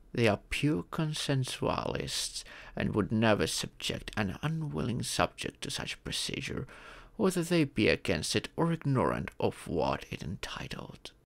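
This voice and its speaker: A man voicing a wise, fatherly male dragon who patiently teaches in a measured, formal storytelling manner for a roleplay audio. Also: mid-range voice, vocal fry, a bit bright, no room sound, exaggerated voice.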